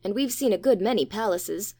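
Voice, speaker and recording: A man voicing an eager young English schoolboy in an audiobook, talking in a rushed, eager way. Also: high-pitched, lively intonation, dark tone, pitched above the performer's own voice.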